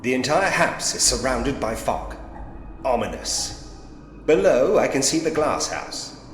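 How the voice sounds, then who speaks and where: A male actor plays a droll, old-fashioned gentleman investigator in a Victorian mystery game. He muses aloud theatrically, with an animated, swooping melody at a medium pitch. The voice rings in a long, roomy reverb. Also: measured pace, slight background noise, close to an everyday voice. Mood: wry, observant. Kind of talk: point-and-click game character voice acting, musing aloud.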